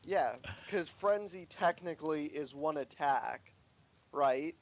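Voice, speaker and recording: Man, slightly high voice, co-op gamer, casual chat about play. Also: slow. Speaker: co-op gaming partner.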